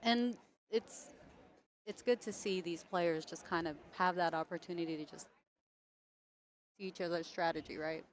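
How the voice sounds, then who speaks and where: A woman with a slightly low voice hosts live pool coverage. She talks in a friendly, casual way, explains the rules clearly and laughs easily with her co-commentator. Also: fairly even intonation, normal speed.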